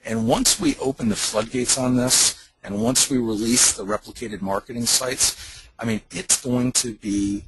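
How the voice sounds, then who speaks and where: A man's voice: mid-range voice, steady tone, a little slow, a bit bright, slightly rough, low-quality recording. A man speaking as a company executive, giving an emphatic, insistent promotional presentation on a conference call. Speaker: company executive addressing sales leaders. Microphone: telephone conference call.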